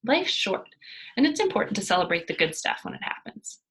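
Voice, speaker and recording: A woman's voice: mid-range voice, sing-song, fairly quick, a bit bright, a little hiss or hum, rough recording. A woman voicing an earnest young girl reading out her heartfelt essay in a storybook, sincere and emphatic. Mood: earnest, hopeful.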